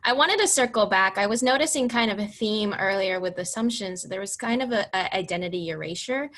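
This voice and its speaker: A woman's voice: medium pitch, fairly quick, fairly bright tone. A woman moderating a town hall discussion, posing questions to panelists with friendly restarts.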